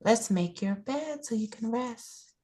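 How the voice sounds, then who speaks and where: A woman playing a practical, good-humoured older home caregiver in a play reading, brisk, matter-of-fact and coaxing. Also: a little high, expressive, dark tone. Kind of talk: table read of a play, naturalistic acting.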